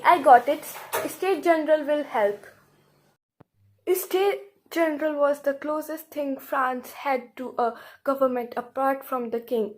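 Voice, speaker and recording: A female student narrating a scripted school history project in simple, slightly halting phrases. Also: high-pitched, a little mellow, rough recording.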